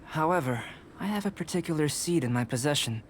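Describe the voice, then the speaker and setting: A man voicing a composed young alchemist in a video game, speaking in a measured, precise and detached way. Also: a little high, lively intonation, slight background noise, lightly acted. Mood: thoughtful, composed. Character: calm, analytical young male alchemist researcher.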